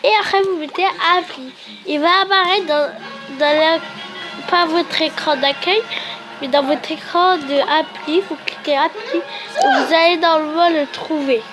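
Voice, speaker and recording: A woman's voice: very high voice, normal speed, music in the background, low-quality recording. A woman with a cold and a sore throat giving a chatty, rambling how-to tutorial as a YouTuber, often correcting herself.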